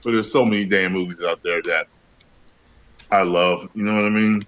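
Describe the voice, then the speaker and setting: A man hosts a laid-back chat in a somewhat low voice. He is brash and teasing, and swears freely. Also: fairly even intonation, normal speed, fairly bright tone. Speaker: host. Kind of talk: casual chat.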